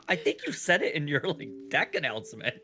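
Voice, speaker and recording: A man from Southern California talks in a lively, up-and-down voice, chatting and laughing easily over a card game. Music plays clearly behind him. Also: fairly high pitch, brisk. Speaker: player. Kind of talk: casual gameplay chat.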